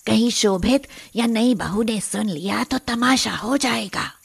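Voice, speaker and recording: A wary older aunt from a cartoon family story, put on by a woman. Her voice is heavily creaky and husky, spoken slowly. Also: fairly high pitch, pitched above the performer's own voice, exaggerated voice. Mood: cautioning. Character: cautious older aunt-in-law, hushing.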